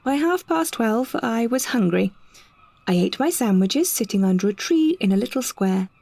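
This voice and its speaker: A British woman reads a scripted first-person story with measured, expressive phrasing and careful delivery. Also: a little high, vocal fry, no room sound. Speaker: narrator, solo performer of an audio story.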